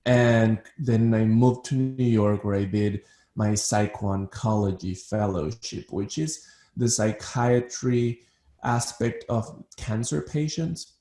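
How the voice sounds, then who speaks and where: Ecuadorian man, low voice, roomy echo. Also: steady tone, takes it slowly, mellow. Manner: repeats words when starting sentences, thoughtful. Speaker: guest, psychiatrist. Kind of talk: interview conversation.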